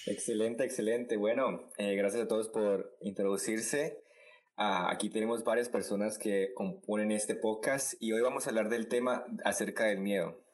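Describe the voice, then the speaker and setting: A man co-hosting a podcast, introducing guests and asking questions with frequent pauses and fillers. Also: fairly low pitch, fairly even intonation. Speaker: podcast co-host. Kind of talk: casual podcast chat.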